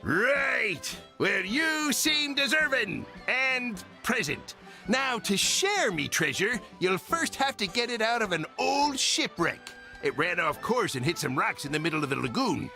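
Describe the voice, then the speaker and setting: A man's voice: high voice, big pitch swings, a little slow, pushed and bright, soft background music, full character voice. A man voicing a greedy, self-important pirate captain in a video game, with a mock pirate accent and blustering, theatrical manner. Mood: scheming, dismissive.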